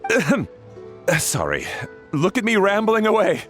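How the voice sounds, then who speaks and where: A man's voice: high voice, wildly swooping pitch, normal speed, fairly bright tone, soft background music, exaggerated voice. A man playing a courteous, deferential and fussy household butler in a video game. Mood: uneasy, confiding.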